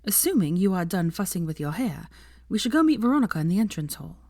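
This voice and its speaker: A woman voicing a fond, sensible young witch in an audiobook, earnest and gently chiding. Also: fairly low pitch, very animated, fast talker, no echo, studio quality. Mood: teasing. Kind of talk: audiobook character voice.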